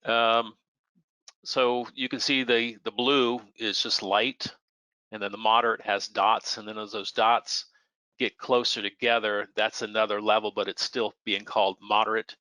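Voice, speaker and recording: A man's voice: fairly low pitch, level tone, measured pace, sharp tone, clear, resonant tone, no echo, low-quality recording. An American man, an air traffic controller, answering questions in a plainspoken, conversational explaining style, sometimes acting out short example exchanges. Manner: plainspoken, illustrates points with imagined radio exchanges.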